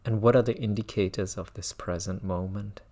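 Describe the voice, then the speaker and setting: A man guiding a mindfulness meditation as a teacher, speaking in measured phrases with long pauses and repetition. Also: lowish voice, fairly even intonation, mellow.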